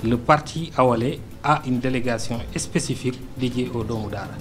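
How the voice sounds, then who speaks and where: A Senegalese man speaks French as his second language, answering questions in a radio studio. His voice sits at an ordinary middle pitch and he keeps stopping to search for words. Faint music runs softly underneath. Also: a little fast. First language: Arabic-educated, not a French native speaker. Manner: hesitant, many fillers and restarts, earnest. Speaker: political party delegate, radio guest. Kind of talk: radio political interview.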